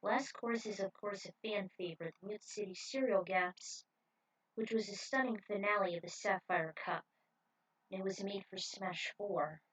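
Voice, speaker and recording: A woman narrates a fan-made racing story in a steady, mid-pitched voice. Her reading is even and unhurried. After the story, she chats casually about it. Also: slightly rough, poor audio. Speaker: fan fiction reader.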